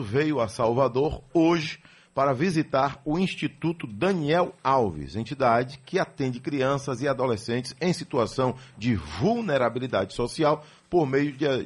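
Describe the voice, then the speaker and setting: A man from Bahia, hosting a radio interview in a friendly announcer style. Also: mid-range voice. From Bahia, Brazil. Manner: friendly, introduces guest in announcer phrasing. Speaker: radio host.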